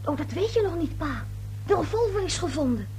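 A high, very animated young woman's voice in a radio drama. She is defensive and flustered, stumbling over repeated words. A hum and noise sit under the old recording. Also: fairly quick, full character voice. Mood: pointed, tart. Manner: hesitant, stammering, repeating words. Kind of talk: radio play acting.

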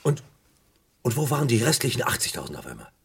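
A man's voice: medium pitch, wildly swooping pitch, quick pace, slightly rough, exaggerated voice. An actor in a 1970s radio crime play voicing an incredulous, probing police inspector who echoes the answers he gets. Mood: stunned. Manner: questioning, echoes answers, swings from muttering to exclaiming.

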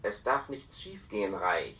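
An actor playing a cautious, grave telepathic informant in a radio play, speaking precisely and confidentially. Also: male voice, on the low side, big pitch swings, measured pace, bright, forceful tone, exaggerated voice. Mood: grave, secretive. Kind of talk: German radio play acting. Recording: roomy, echoing radio studio.

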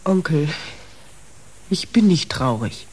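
An actress playing a young, quietly brave niece in a radio drama, speaking simply and earnestly. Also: female voice, deep voice, big pitch swings, takes it slowly, breathy edge, heavily acted. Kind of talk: German radio drama acting.

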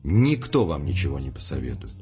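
Animated, lecturing male intellectual. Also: rumbling low, brisk, lo-fi sound, soft background music. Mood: firm, sober. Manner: formal, lecturing, emphatic. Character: self-assured, coolly logical intellectual man. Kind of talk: scripted audio drama dialogue.

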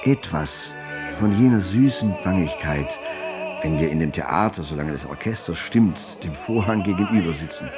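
Male narrator for literary radio drama: low register, formal and elevated delivery, music clearly behind. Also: lively intonation, moderate pace.

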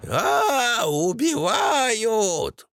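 A man voicing a vicious, triumphant old mother-in-law who scolds and cackles in drawn-out rustic speech. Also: very high-pitched, wildly swooping pitch, gravelly, fairly bright tone, dry recording, clean studio sound, voice pushed up, exaggerated voice. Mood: shrieking alarm.

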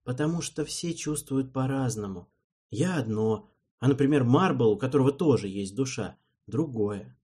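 A man voicing a calm, patient young male king who speaks quietly and slowly. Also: mid-range voice, breathy edge. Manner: quiet, soothing, measured.

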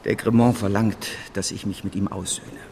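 An actor playing a driven, proud financial speculator in a German radio drama, urgent and persuasive. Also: male voice, fairly low pitch, fairly even intonation, moderate pace, creaky, darkish tone, a little hiss or hum. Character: driven, proud male financial speculator in 19th-century Paris.

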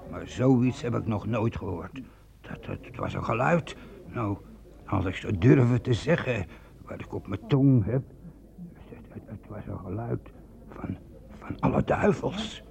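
A creaky-voiced, frightened veteran soldier. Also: male voice, lowish voice, sing-song, a little slow, long pauses, darkish tone, heavily acted. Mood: awed, fearful. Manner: stammering, emphatic, repeats himself. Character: frightened veteran soldier, an archer, insisting on devilry. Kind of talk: Dutch radio play acting. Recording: dull lo-fi old radio recording.

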